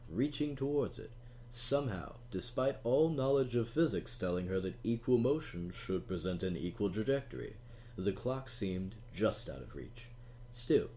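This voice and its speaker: Deep-voiced man reading with teasing asides. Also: darkish tone, a little hiss or hum. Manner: teasing, playful aside to the listener. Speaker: audiobook narrator. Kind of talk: storybook reading.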